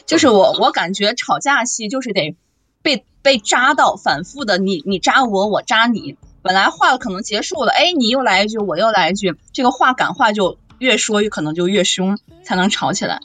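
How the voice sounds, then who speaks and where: A woman's voice: medium pitch, normal speed, dry recording. A woman voice actor talking casually and expressively in a panel chat about audio drama. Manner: animated, expressive. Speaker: voice actor discussing her audio drama role.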